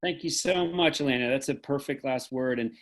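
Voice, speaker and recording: A man speaking as host of an online event, giving earnest, deliberate closing remarks on a video call. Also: medium pitch. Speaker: host and moderator.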